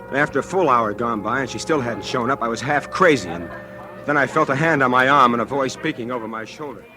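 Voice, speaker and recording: A man's voice: mid-range voice, fast talker, music in the background, natural voice. An actor playing an anxious, lovestruck young American man in a 1940s radio suspense drama, earnest and prone to stammer. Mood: tense narration. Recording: old time radio show recording.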